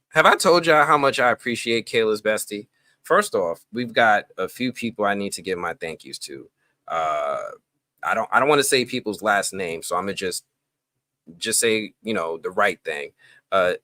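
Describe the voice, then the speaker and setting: A man hosting a live stream, chatting casually and greeting viewers in slang-heavy talk, his voice jumping up with excitement. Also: mid-range voice, dry recording, clean studio sound. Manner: excitable, voice jumps up when greeting people; relaxed slang-heavy talk with many fillers.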